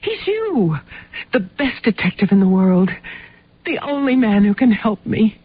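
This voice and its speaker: An actress in a radio drama playing a desperate, insistent wife with a husky voice, pleading for help. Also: female voice, mid-range voice, sing-song, unhurried. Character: desperate pleading wife seeking her missing husband. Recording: muffled narrowband old radio recording.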